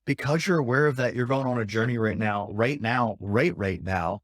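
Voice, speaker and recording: A man in casual podcast chat speaks encouragingly to his co-host. He repeats his phrases for emphasis, and his voice is of ordinary pitch. The recording is dry, with little room sound. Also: moderate pace, no room sound.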